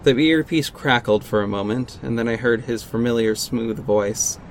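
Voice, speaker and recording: A man acting as a guarded, sardonic costumed vigilante in an audio drama, measured and terse, with an exasperated edge. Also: mid-range voice, fairly even intonation, a little hiss or hum, close to an everyday voice. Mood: wry, brooding. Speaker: narrator and lead actor. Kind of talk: first-person audio drama narration and dialogue.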